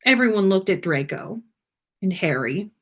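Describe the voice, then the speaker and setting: A woman reading a story aloud in an expressive, scripted storytelling style. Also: mid-range voice, moderate pace. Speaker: fan fiction reader on a podcast livestream.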